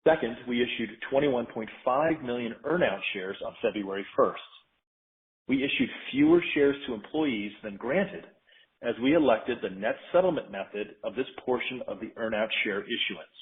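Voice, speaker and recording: A man in a company finance role reads a scripted earnings presentation in a formal, measured, businesslike way. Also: medium pitch, lively intonation. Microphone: conference call line. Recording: narrow tinny phone-line sound.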